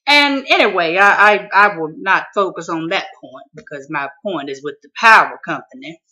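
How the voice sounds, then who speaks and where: A man from Mississippi with a Southern accent and a high-pitched voice, telling a comic story in a folksy, exclaiming way. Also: animated, normal speed, bright, forceful tone, rough recording. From Mississippi, USA. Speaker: vlogger.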